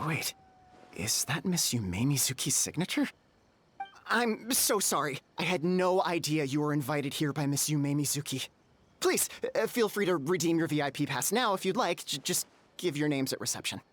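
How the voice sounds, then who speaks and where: Flustered, polite young business owner. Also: male voice, on the high side, a bit bright, husky. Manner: hesitant, stammering, polite. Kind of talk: video game voice acting. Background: music.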